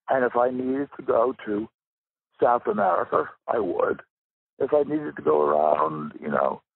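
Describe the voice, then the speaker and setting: A man with a raspy, gravelly voice giving reflective interview answers as a guest writer, pausing for emphasis. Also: medium pitch, no echo. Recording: muffled narrow phone-like line.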